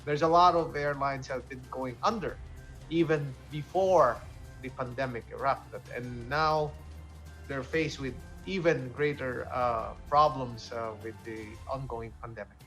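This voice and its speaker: A man hosting a current affairs discussion, speaking haltingly and repeating words as he goes. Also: medium pitch, a bit bright. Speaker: talk show host. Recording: music bed behind the voice. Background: music.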